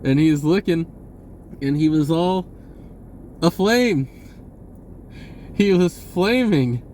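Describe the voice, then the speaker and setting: An American man with a somewhat high voice talks over clear background noise. He shares self-help stories in a loose, unscripted way, full of slang, and he wanders and cuts into his own sentences. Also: expressive, takes it slowly, darkish tone. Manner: rambling, slangy, self-interrupting. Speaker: vlogger sharing spiritual self-help advice. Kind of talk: casual unscripted personal storytelling.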